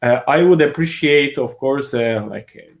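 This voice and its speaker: A man in his thirties, an English speaker from Turkey, talking as a guest on a video call, hesitant and filled with pauses and wry laughs. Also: medium pitch. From Turkey (Kurdish region, Diyarbakır). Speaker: guest, business consultant and coach. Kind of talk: casual interview on a video call.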